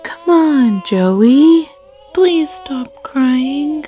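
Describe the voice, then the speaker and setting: A woman's voice: high-pitched, expressive, very slow, warm tone, soft background music, full character voice. A woman voicing a frightened, worried five-year-old child in a horror story. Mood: pleading, distressed. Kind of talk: horror story character acting.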